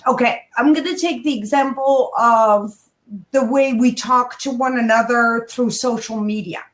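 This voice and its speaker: A woman with a high voice talks in an animated, coaching way, stressing her points and turning to address listeners directly. Her voice rings in a roomy, echoing space. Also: measured pace. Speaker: guest, kindness speaker. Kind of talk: animated advice-giving interview talk.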